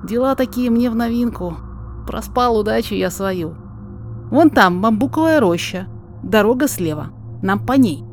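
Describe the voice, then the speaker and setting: Lady doing a nervous polite guide guy for a story podcast, apologetic, agitated, raised pitch, music behind. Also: expressive, moderate pace. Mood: anxious. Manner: agitated, deferential, emphatic. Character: flustered, apologetic male local guide, anxious and polite.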